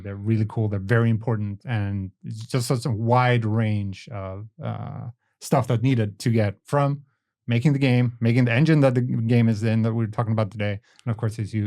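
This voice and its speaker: A man hosting a podcast, chatting in a relaxed way and often trailing off softly. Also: fairly low pitch, brisk. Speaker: podcast host. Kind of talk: casual interview chat.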